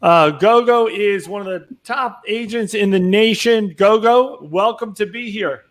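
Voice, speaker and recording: A man's voice: sits high, measured pace. A man hosting an interview, upbeat and chatty with plenty of fillers. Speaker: host, real estate business owner.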